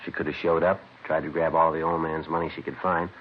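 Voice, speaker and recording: Very deep, clipped, deadpan detective. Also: male voice, fast talker. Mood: terse, pondering. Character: terse, businesslike male police detective, methodical and dry. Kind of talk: 1940s-50s radio crime drama, clipped narration and dialogue. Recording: noisy, dull vintage radio recording.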